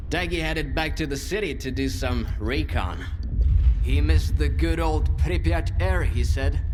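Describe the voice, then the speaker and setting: A man's voice: a little high, noisy background, natural voice. A man playing a wry, teasing stalker. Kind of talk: video game cutscene acting.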